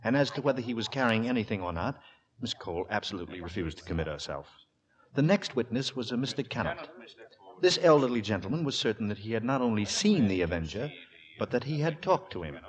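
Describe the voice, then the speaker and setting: A man narrating a horror tale as a grave, suspenseful storyteller in measured, literary 1940s radio style. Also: on the low side, very animated, rough recording. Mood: wry, dramatic.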